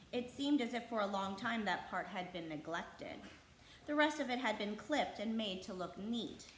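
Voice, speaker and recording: A woman narrating a children's storybook aloud in an expressive, scripted read-aloud style. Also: medium pitch. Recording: echoey room with faint background noise.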